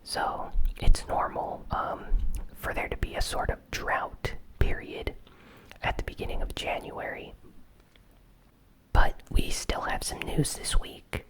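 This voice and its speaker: A host whispering a gaming news recap in a soft, unhurried ASMR style. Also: rough recording.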